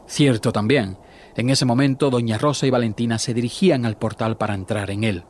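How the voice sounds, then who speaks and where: Radio drama narrator: actor as dry male storyteller, medium pitch, faint background noise. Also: fairly even intonation, fairly quick, darkish tone, natural voice. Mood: matter-of-fact.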